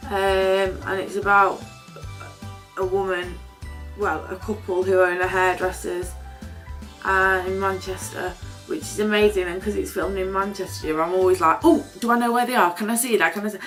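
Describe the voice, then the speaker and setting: Female British vlogger, medium pitch, informal and unscripted, rambling self-deprecating chat, music behind. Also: steady tone, moderate pace, fairly bright tone, rough recording. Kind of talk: casual unscripted chatty get-ready-with-me.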